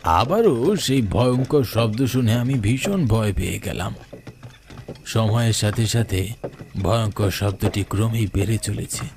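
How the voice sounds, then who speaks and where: Low-voiced man narrating a ghost story. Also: unhurried. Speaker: narrator of a first-person horror story. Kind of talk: scripted dramatic narration of an animated ghost story. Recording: clear background noise of night crickets.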